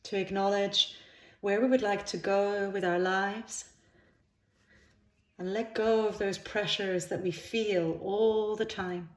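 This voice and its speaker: A woman with a mid-pitched voice leads a guided meditation. She speaks soothingly in unhurried phrases, leaving pauses between them. The sound is noticeably echoey, as in a reverberant room. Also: steady tone. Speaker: yoga nidra instructor. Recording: roomy, echoing sound.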